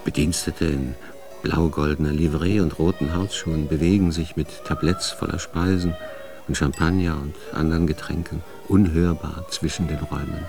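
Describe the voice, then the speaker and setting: A man acting as the narrator of a radio drama, setting historical scenes in a measured, factual manner. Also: very deep voice, fairly even intonation, creaky, music in the background. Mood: factual, descriptive.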